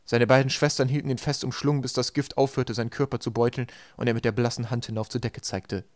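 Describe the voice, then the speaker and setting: Male audiobook reader, medium pitch, very dry sound, scripted storybook narration. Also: little pitch movement, quick pace, darkish tone, dry recording.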